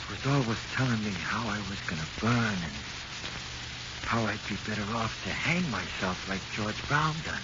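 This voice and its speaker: An actor playing a haunted, nervy small-time crook who talks in plain, ungrammatical tough-guy slang, in 1940s radio drama. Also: on the low side. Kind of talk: 1940s radio drama acting, first-person narration. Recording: muffled, noisy 1940s radio recording.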